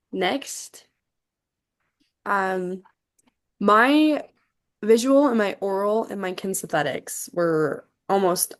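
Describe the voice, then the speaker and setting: A woman in her twenties from Tennessee, a college student casually leading introductions on a video call, friendly and a bit hesitant with lots of ums. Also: mid-range voice.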